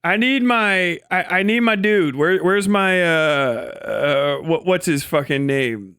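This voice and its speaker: A man's voice: fairly high pitch, slow pace, fairly bright tone, clear, resonant tone, dry recording, studio quality. A man giving casual, sarcastic live commentary as a streamer, amused and freely swearing. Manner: mocking, profane, amused. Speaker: streamer reacting to a recorded debate clip.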